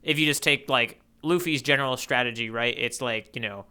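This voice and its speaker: A man's voice: medium pitch, brisk, sharp tone, clear, resonant tone. A man co-hosting a podcast, casually discussing in a musing, playful way and often checking in with "right?". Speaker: podcast co-host.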